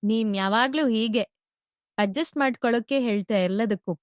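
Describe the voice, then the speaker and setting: A woman's voice: fairly high pitch, moderate pace, dry recording, clean studio sound, lightly acted. A woman with an Indian accent playing a demanding, anxious young girlfriend, reproachful and questioning in short-film drama dialogue. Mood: demanding, displeased.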